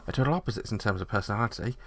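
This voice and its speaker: Low-voiced man reading game narration. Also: rapid-fire, creaky, slight background noise. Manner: steady reading with small slips. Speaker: narrator reading a visual novel.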